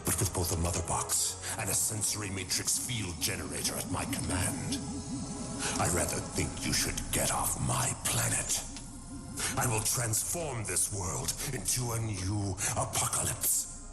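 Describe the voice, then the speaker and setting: An actor playing a huge alien tyrant in a video game, pompous, menacing and gravelly. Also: male voice, low-pitched, expressive, measured pace. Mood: grandiose threat. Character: huge menacing male alien tyrant villain, grandiose and sinister. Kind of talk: dramatic video game villain acting. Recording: loud music behind the voice.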